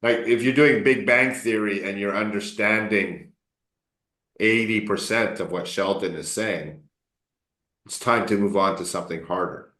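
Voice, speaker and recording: A man coaching English students in a casual online class, relaxed and encouraging, often joking with them. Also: lowish voice, unhurried, echoey room. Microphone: video call.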